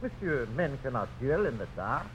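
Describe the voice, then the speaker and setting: Over faint radio hiss, a man acts out a fussy gentleman in a 1950s radio play. He is mid-pitched, formal and florid, with a theatrical, easily alarmed air. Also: big pitch swings, moderate pace, highs cut off, natural voice. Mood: uneasy, dramatic. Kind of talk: 1950s American radio drama acting. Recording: slightly hissy old radio broadcast.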